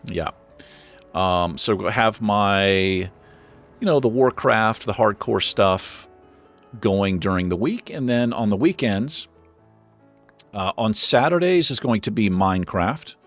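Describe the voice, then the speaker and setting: A man casually commenting on his city-building game while he plays, thinking aloud with lots of fillers, his voice going hoarse. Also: on the low side, slow, soft background music. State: losing his voice. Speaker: gaming channel host.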